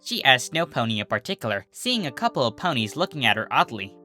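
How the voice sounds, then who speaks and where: A narrator reading a fan fiction story aloud in an expressive storybook style. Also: medium pitch, fast talker, soft background music.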